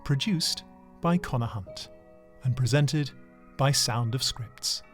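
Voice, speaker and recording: A man reads the closing credits of an audio drama in a formal, unhurried announcer's voice. His voice sits at a middle pitch, and soft music plays behind him.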